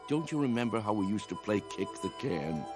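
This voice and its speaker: A man's voice: on the low side, expressive, a little fast, lightly acted. An actor playing an earnest, persuasive old man with a dreamer's young heart in a radio drama. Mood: coaxing. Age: older. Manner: earnest, persuasive, challenging with questions. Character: old man, impassioned youthful-hearted dreamer coaxing others to play. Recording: radio drama with music underneath.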